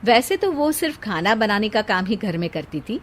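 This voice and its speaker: A woman's voice: medium pitch, very animated, normal speed, slight background noise. A woman narrating a scripted moral story as a storyteller.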